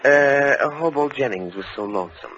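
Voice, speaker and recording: An actor playing a cold-blooded racketeer and blackmailer in an old radio crime drama, with a menacing, mocking, wordy manner. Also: male voice, mid-range voice, lively intonation, moderate pace, fairly bright tone, a little hiss or hum, lightly acted. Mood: cold, threatening. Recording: muffled vintage radio recording.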